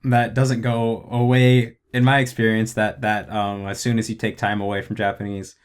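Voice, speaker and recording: A man hosting a casual interview, talking in a relaxed, thoughtful way with some pauses and restarts. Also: on the low side, clean tone. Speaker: host, interviewer.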